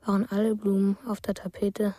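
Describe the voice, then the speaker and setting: A woman voicing a dreamy, withdrawn child in a radio drama monologue, soft and half-whispered, in simple detached sentences. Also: mid-range voice, monotone, brisk, slightly rough, dry recording, studio quality, natural voice. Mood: dreamy, hushed.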